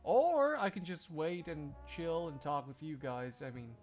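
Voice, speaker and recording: Man livestreamer with lively, swinging pitch. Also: unhurried, soft background music. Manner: jokey, rambling, exclamatory. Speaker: live streamer. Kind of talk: casual livestream chat to viewers.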